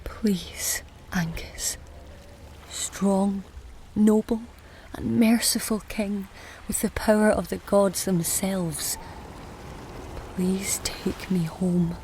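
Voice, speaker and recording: An actress playing a cunning, frail-seeming woman in an audio drama, formal and deliberate, often dropping into breathy whispers over a noisy ambient soundscape. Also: medium pitch, fairly even intonation, warm tone. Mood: weak, pleading.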